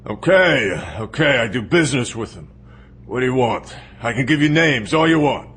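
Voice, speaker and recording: Gravelly, slippery crime boss. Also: male voice, medium pitch, animated, moderate pace, fairly bright tone. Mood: cornered. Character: slippery male crime boss bargaining under threat. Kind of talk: dramatised audiobook acting.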